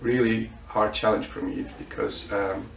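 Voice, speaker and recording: A man's voice: lowish voice, fairly even intonation, measured pace, gravelly. A student in his twenties from Bulgaria, answering interview questions with many hesitations and restarts. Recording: roomy echo, mic at a distance.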